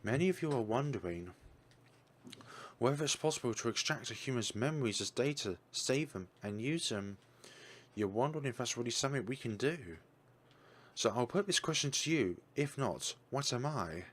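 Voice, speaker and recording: A man voicing an AI copy of a young woman scientist, who speaks calmly and precisely with a mild teasing edge. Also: medium pitch, normal speed, close to an everyday voice. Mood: lecturing.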